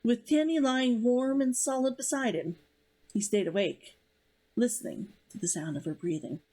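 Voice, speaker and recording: A woman author reading her own novel aloud as measured, dramatic story narration. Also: fairly high pitch, mellow.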